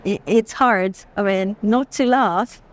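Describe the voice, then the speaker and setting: A woman's voice: medium pitch, slow. A woman in an unscripted walking conversation about art, explaining reflectively and building long sentences in phrases. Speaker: art curator guiding a walk through a fair. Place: busy art fair hall, walking.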